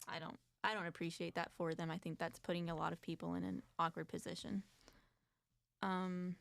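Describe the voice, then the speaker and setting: A woman's voice: on the low side, gravelly, clean tone, no room sound. A woman co-hosting an advocacy talk show, chatting casually and reading documents aloud with commentary. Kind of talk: casual discussion.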